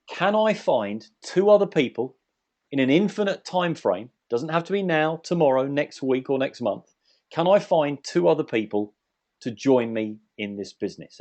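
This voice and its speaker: A man's voice: mid-range voice, lively intonation, normal speed, a little mellow. A man giving a methodical, explanatory sales presentation as a webinar presenter on an online call.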